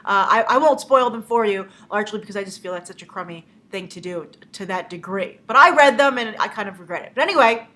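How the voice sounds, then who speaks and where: A woman's voice: mid-range voice, lively intonation, fast, few pauses, sharp tone. A young woman with a cold and a stuffy nose, hosting a casual movie news show, chatty and opinionated, with lots of "uh" fillers.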